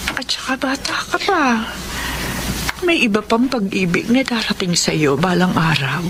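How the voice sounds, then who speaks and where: A woman's voice: medium pitch, animated, moderate pace, background noise, close to an everyday voice. A woman playing an anxious, protective middle-aged mother in an emotional Filipino radio melodrama. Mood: solemn, tender. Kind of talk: Filipino radio drama acting.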